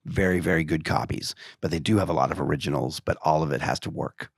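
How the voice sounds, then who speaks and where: A man from Maine with a very low, gravelly voice, talking on a podcast. He muses aloud and puts on lively quoted questions as he makes his points. The sound is close and dry. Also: fast talker, no echo. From Maine, USA. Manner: reflective, speaks in quoted questions with big pitch jumps. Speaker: podcast guest. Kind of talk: casual podcast chat. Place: podcast studio.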